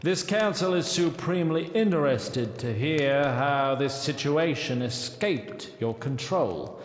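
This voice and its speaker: An ancient, haughty elf councillor in a fantasy audio drama. He orates slowly and formally in a fairly high, very expressive voice, ringing through an echoing great hall. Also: a little hiss or hum. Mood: proud, disapproving. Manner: formal, haughty, deliberate oratory. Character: pompous, centuries-old male elf high councillor. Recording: echoey great stone hall reverb.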